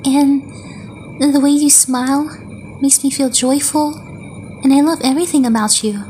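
A woman playing a sweet, affectionate young woman in a romantic ASMR roleplay, speaking gently and hesitantly with long pauses. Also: sits high, fairly even intonation, slight background noise, natural voice, rough recording. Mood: tender, shy. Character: sweet, affectionate young woman confessing love to her crush.

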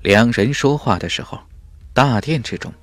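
A man's voice: medium pitch, animated, moderate pace, fairly bright tone, dry recording, faint music behind. A man narrating a fantasy audiobook in a dramatic, scripted storytelling style.

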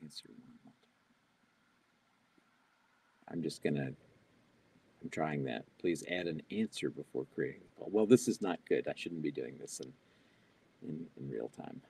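A man's voice: very deep voice, normal speed, long pauses, warm tone, slightly rough. An older man speaking as a keynote presenter in a live online Q&A, reading audience questions aloud and answering off the cuff with fillers and restarts. He talks through a wired earbud mic on a video call from a small home office. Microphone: wired earbud headset on a video call.

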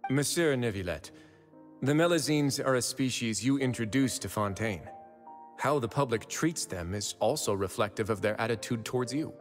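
An actor playing a composed young prison administrator in a video game, speaking in a measured, assured, wry way. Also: male voice, mid-range voice, lively intonation, natural voice. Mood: earnest, assured. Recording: long reverb, music underneath.

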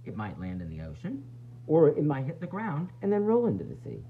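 A man reads aloud to small children in a teacherly, playful way. His pitch swings widely as he stresses words for drama and slips in asides. The sound is slightly dull and lo-fi, with a faint noise behind it. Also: huge pitch swings, a little fast. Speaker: teacher reading a picture book aloud. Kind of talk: animated read-aloud for young children.

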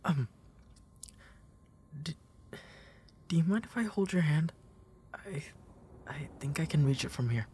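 A man acting a gentle, protective big brother in an intimate comfort roleplay audio, tender and hesitant, with a breathy, soft delivery. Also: fairly high pitch, lively intonation, a little slow, pauses often, slight background noise. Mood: tender, caring. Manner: hesitant, tender, soft-spoken, often dropping into breathy whispers.

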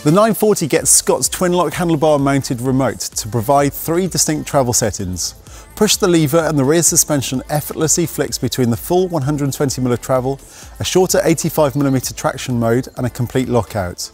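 A young man reads a scripted mountain bike review at a medium pitch. His delivery is brisk, technical and matter-of-fact. Faint music plays quietly underneath his voice. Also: husky.

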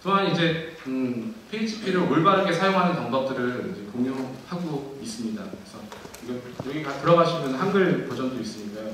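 A young man giving a technical keynote at a conference, speaking in a measured way with frequent fillers and restarts. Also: medium pitch, animated, a bit bright, slight background noise. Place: large dark auditorium. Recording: echoey hall from far off.

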